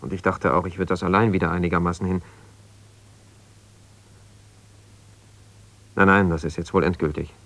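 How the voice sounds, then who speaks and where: An actor playing an embittered, ambitious lawyer husband who talks in an argumentative, colloquial way in a naturalistic radio play. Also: male voice, sits low, steady tone, rapid-fire, a bit bright. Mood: subdued, confiding. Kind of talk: naturalistic radio play, one side of a phone call.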